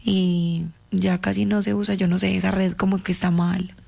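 A woman's voice: mid-range voice, level tone, moderate pace, gravelly, mellow, slightly rough. A woman with a Colombian paisa accent, calling on a landline and coyly making a man guess who she is. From Antioquia, Colombia. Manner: coy, insistent, keeps her identity a mystery. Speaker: caller. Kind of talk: teasing phone call. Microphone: landline phone.

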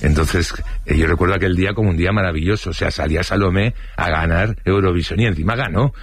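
A man's voice: sits low, fast talker, a little hiss or hum. An older man from Spain with a Spanish accent, telling rambling childhood anecdotes in a casual interview, full of fillers and restarts.

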